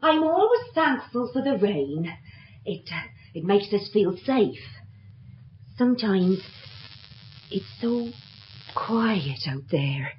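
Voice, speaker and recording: An actress playing a fearful, protective rural wife in a radio drama. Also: female voice, mid-range voice, very animated, slow pace, long pauses, slight background noise. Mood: uneasy. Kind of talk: 1970s radio drama acting. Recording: dull old radio recording.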